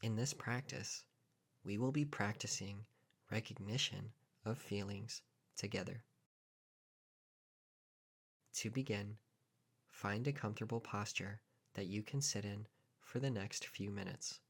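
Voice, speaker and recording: Low-pitched man guiding a calm meditation. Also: breathy edge. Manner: calm, measured, with long pauses between short phrases. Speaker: meditation guide. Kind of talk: guided meditation narration.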